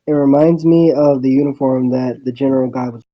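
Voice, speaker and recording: A man reading a first-person visual novel story aloud, haltingly and with stumbles over the words. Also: mid-range voice, fairly even intonation, muffled recording.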